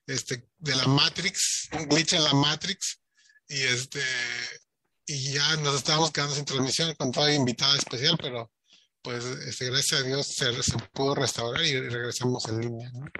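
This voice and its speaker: Bright, chatty male podcast host. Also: mid-range voice, fairly even intonation, moderate pace. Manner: rambling, chatty, many filler words. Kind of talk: casual interview chat.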